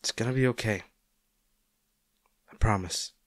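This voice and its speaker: A man's voice: fairly low pitch, unhurried, no echo, studio quality. A man acting a caring, worried boyfriend in an intimate audio roleplay, speaking tenderly and coaxingly to his injured partner. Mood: gentle, soothing. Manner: tender, coaxing, hesitant, speaking directly to the listener.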